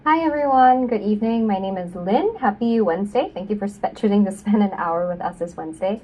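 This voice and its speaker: A woman's voice: mid-range voice, a little fast, a little mellow. Friendly woman speaker from Singapore. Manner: friendly, many fillers like um and you know. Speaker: admissions consultant. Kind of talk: webinar presentation. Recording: voice a bit far from the mic.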